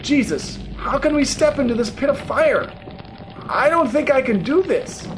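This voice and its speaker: Man voicing a high, pleading, frightened youngster. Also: very animated, a little slow, over music, full character voice, rough recording.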